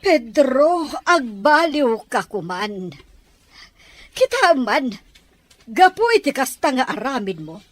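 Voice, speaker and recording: A woman's voice: high voice, very animated, slow pace, no echo, heavily acted. A woman with an Ilocano accent playing an exasperated, reproachful wife in an audio drama. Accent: Ilocano, from the Philippines. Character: exasperated, reproachful wife pleading with her husband.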